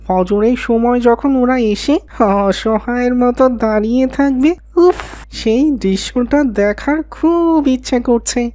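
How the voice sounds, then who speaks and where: A woman's voice: fairly high pitch, measured pace, warm tone, no room sound, natural voice. A woman voicing a spiteful, scheming boy in a cartoon, with theatrical delivery. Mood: gloating, scheming. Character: spiteful scheming boy, Mahadi, gloating then wailing. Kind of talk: cartoon voice acting.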